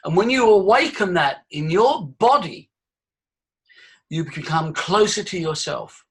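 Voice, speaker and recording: A man's voice: sits high, animated, a little slow, husky. A man leading a voice workshop, demonstrating breathing and vocal sounds for his students. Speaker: voice coach. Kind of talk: live workshop teaching.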